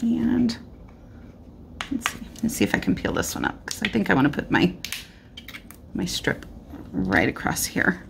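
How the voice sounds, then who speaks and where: Slow, gravelly-voiced woman crafting. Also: mid-range voice, noisy background. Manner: relaxed, chatty, thinking aloud with fillers. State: slightly losing her voice, clearing throat. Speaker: card-making tutorial host. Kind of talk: casual crafting tutorial narration. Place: indoor craft desk. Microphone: overhead camera, hands only on craft mat.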